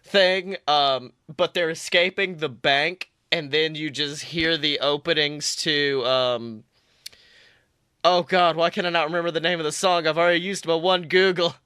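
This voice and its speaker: A man's voice: on the high side, steady tone, measured pace, bright, forceful tone, clean tone, no room sound, clean studio sound. A young man co-hosting a fan podcast, joking and rambling in casual chat with plenty of fillers. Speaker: podcast co-host.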